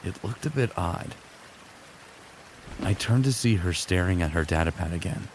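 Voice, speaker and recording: A man's voice: sits low, lively intonation, moderate pace, a little hiss or hum, poor audio. A man playing a smitten, teasing sci-fi warrior who narrates his own exploits in a fan audio drama, casual and wry. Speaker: narrator and lead character.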